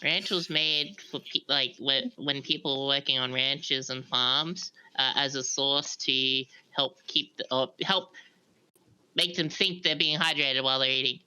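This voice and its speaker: A woman's voice: rumbling low, fairly even intonation, measured pace, pushed and bright, clean tone, rough recording. A woman in her thirties co-hosting a podcast, joking and swearing in casual chat. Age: 30s. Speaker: podcast co-host.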